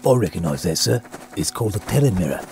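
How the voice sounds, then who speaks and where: Mellow, level-headed crewman. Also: low voice, big pitch swings, fast talker, vocal fry, a little hiss or hum, heavily acted. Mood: helpful, calm. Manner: patient, matter-of-fact. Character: level-headed, dutiful male crewman advising his leader. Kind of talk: fantasy audio drama acting.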